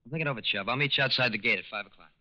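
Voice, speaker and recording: An actor in a 1940s radio drama playing a discontented, scheming teenage war plant worker with slangy, grumbling speech. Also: male voice, mid-range voice, very fast, pushed and bright. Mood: sly, persuasive. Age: young adult. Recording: muffled 1940s radio recording.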